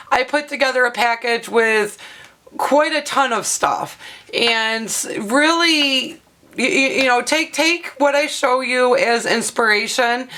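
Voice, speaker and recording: Brief: hoarse woman craft vlogger, bright and a bit high, chatty show-and-tell with lots of fillers. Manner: chatty and explanatory, with frequent ums and uhs. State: hoarse, losing her voice.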